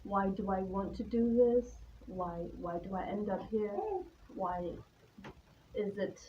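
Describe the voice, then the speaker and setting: A woman's voice: mid-range voice, steady tone, slow pace. A woman from Taiwan gives an informal, personal talk in a nervous, hesitant, candid manner. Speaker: artist-in-residence guest speaker. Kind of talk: informal spoken presentation about own life and work. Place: live talk at an art venue. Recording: distant mic, dull sound, faint background noise.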